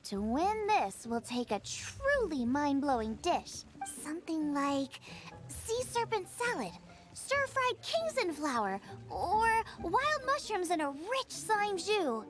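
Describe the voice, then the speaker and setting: A super high perky teen girl chef for an anime game, swoopy and excitable, music behind. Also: takes it slowly, full character voice. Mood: confident, playful. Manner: perky, enthusiastic, playful.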